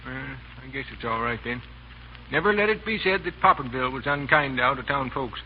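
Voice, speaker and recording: A man's voice: medium pitch, brisk, lo-fi sound, lightly acted. A man playing folksy hotel clerk, old radio. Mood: wary, obliging. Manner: folksy, hesitant. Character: suspicious but obliging small-town male hotel clerk. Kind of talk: 1930s radio acting. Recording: band-limited old radio, faint noise.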